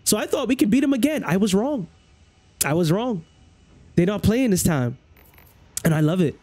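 High-voiced Bahamian man streaming games. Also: very animated, moderate pace, darkish tone. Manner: loose, rambling, repeats phrases. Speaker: game streamer. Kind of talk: casual livestream chat with viewers. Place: small room at home, indoors. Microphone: headset.

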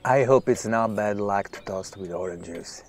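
A man's voice: on the low side, normal speed, close to an everyday voice. A mild-mannered male writer, played by an actor, talks in a hesitant, understated way. He sounds politely bemused, in the natural style of an audio drama, with slight noise behind him. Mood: amused, polite.

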